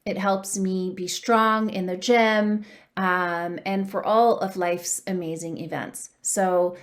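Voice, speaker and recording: A woman's voice: medium pitch, steady tone, slow. Upbeat woman in her forties giving advice. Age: 40s. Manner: earnest, upbeat, with frequent ums. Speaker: dating coach. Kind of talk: solo advice talk to camera.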